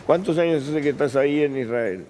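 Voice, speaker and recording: A man's voice: medium pitch, brisk, darkish tone, a little hiss or hum. A man with an Argentine accent, a news presenter improvising questions and repeating words as he forms them.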